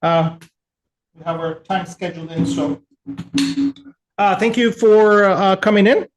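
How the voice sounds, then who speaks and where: A man from El Salvador giving a relaxed, conversational technical webinar with frequent fillers. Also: high voice, measured pace, reverberant, slight background noise, poor audio. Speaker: webinar presenter.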